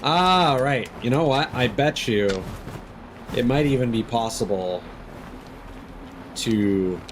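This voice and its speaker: A man's voice: mid-range voice, animated, slow pace, slight background noise. A man doing casual live commentary as a game streamer, musing aloud in rambling asides. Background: video game sound.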